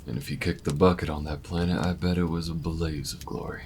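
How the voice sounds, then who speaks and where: A man playing an easygoing, weathered alien bounty hunter in an intimate audio roleplay, talking in a folksy, unhurried way with murmured asides. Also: rumbling low, fairly even intonation, darkish tone, slight background noise. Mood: wistful, reflective.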